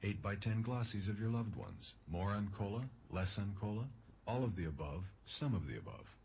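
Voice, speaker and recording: A man playing a mock-serious underground tipster in an old scripted soda radio commercial, deadpan and earnest. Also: on the low side, moderate pace, background noise.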